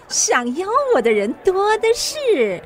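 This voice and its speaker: A woman's voice: high-pitched, very animated, slow, full character voice. A woman acting in a radio drama as a coquettish, sociable society madame with a coaxing, theatrical manner.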